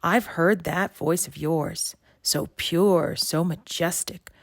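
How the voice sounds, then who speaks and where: A scheming, sinister sea witch from a children's storybook, acted out by a woman narrator. The voice is low and rasping, and the words come slowly, with menace and command. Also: no room sound, studio quality, full character voice. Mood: coaxing, menacing. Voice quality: croaky, rasping.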